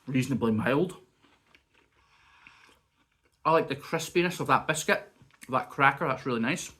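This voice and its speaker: A man's voice: medium pitch, a little fast, sharp tone. Guy rambling thru a cheese taste test at home, kinda sniffly, bit of a cough. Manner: chatty, muses aloud while tasting. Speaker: food reviewer. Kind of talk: casual food review vlog. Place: indoors at home.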